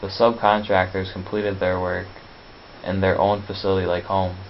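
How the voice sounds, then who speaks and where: Man, lowish monotone, scripted narration, halting reader, muffled hissy recording. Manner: flat reading, stumbles over words. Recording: dull, lo-fi, faint hiss.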